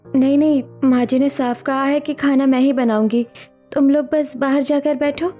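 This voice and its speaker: A woman playing a timid, dutiful young village daughter-in-law who pleads softly in a cartoon family story. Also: sits high, fairly even intonation, moderate pace, soft background music, close to an everyday voice. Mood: anxious, pleading.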